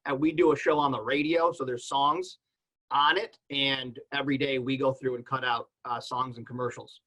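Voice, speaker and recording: Man, average pitch, casual panelist, plain-spoken, conversational explanations. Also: steady tone, normal speed, bright, forceful tone, poor audio. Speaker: podcast panelist.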